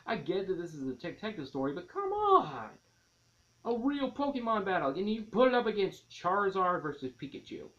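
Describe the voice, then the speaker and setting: A man's voice: high-pitched, very animated, normal speed, fairly bright tone. Casual man reviewing a movie, dull lo-fi audio. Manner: enthusiastic, rambling, repeats and rephrases himself.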